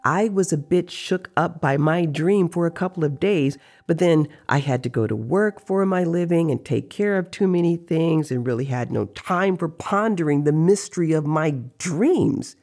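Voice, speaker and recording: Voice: medium pitch, moderate pace, hardly any pauses, a little mellow, pitched above the performer's own voice, lightly acted. A reader voicing a teasing male sorcerer who tells tales of his dreams in an audio story. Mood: storytelling.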